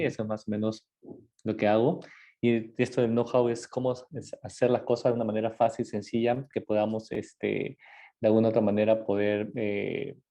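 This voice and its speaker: A man's voice: on the low side, mellow. A man from Ecuador, a dentist, giving an informal lecture in a conversational way with many fillers and tag questions.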